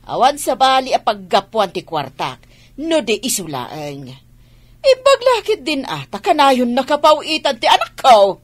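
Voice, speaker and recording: An elderly village mother in radio drama. She speaks slowly and exclaims, her high voice leaping up and down dramatically. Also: very animated, full character voice. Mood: flustered. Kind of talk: Ilocano radio drama acting.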